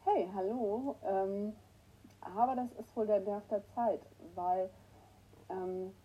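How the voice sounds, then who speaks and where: A woman with a cold hosting a casual morning livestream, greeting her viewers by name and clearing her throat often. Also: mid-range voice, slow, muffled recording. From northern Germany. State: has a cold, hoarse at times. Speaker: livestream host. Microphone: new microphone that is not working right.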